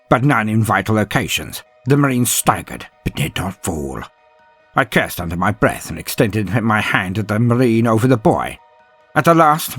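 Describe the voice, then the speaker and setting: A man's voice: on the low side, a bit bright, husky, full character voice. A man playing a grim, proud, dryly sardonic inquisitor, narrating a battle in a theatrical, brooding way as a first-person audio drama. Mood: tense, measured. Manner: theatrical, brooding, with weighty pauses.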